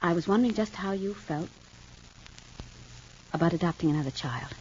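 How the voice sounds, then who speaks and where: A dull, noisy old radio recording of a gentle wife in a 1950s soap opera, played by an actress. She speaks in a lowish woman's voice, hesitant and earnest. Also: normal speed, close to an everyday voice. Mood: hesitant, wistful. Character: gentle, hesitant wife hoping to adopt an older child.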